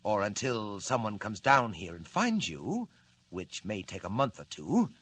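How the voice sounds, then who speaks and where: An actor playing a smug, menacing gang boss in a 1940s radio serial, taunting with mock courtesy in articulate speech. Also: male voice, lowish voice, very animated, unhurried, a bit bright, husky, exaggerated voice. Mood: coldly gloating. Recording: old radio recording with hiss and hum.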